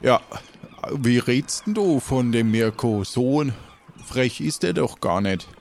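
Man playing a slow, folksy harbour local. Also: mid-range voice, lively intonation, darkish tone, a little hiss or hum. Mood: welcoming, hesitant. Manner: hesitant, folksy. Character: slow, friendly, rustic harbour local man, simple-hearted. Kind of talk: improvised audio play.

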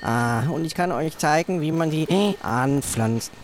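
A man voicing a simple talking donkey with a halting, drawn-out delivery in an improvised adventure audio play. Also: on the high side, slight background noise. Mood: eager, grateful. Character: talking donkey, simple, grateful, bored of castle life.

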